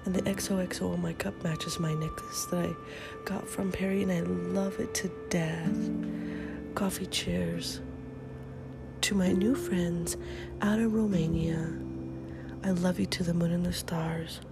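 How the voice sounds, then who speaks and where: A woman's voice: fairly low pitch, steady tone, measured pace, vocal fry, loud music behind the voice. A middle-aged woman hosting a podcast in a casual, upbeat morning chat, often half-whispering, with a stuffy nose from a head cold. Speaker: podcast host.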